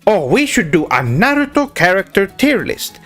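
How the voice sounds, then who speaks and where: A man's voice: sits high, sing-song, moderate pace, fairly bright tone, soft background music. A young Swedish man streaming, reading chat questions and answering in a pompous weeaboo persona, dropping in Japanese words and often restarting with "uh". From Sweden. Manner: hesitant restarts with many "uh"s. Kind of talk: casual livestream chat, reading questions aloud.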